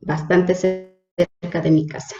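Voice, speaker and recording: A religious sister from Hidalgo, Mexico, whose first language is Nahuatl, introducing herself in an interview with a hesitant manner and many fillers. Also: female voice, fairly low pitch, level tone, takes it slowly, echoey room, lo-fi sound, music in the background. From Mexico, Hidalgo state. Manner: hesitant, frequent fillers and restarts.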